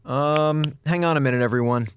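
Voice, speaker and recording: A man's voice: a little high, normal speed, a little hiss or hum, lightly acted. An actor playing a sarcastic, self-pitying male comms officer stranded in space, talking to himself in a running monologue. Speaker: communications officer. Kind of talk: audio drama acting.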